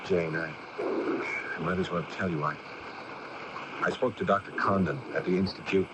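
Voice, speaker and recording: An actor playing an anxious, lovestruck sculptor in a radio drama, speaking in a halting, stammering way. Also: male voice, low-pitched, fairly even intonation, very noisy, close to an everyday voice. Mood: tender, hesitant. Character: anxious, lovestruck bachelor sculptor pleading for help. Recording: dull lo-fi AM radio tape.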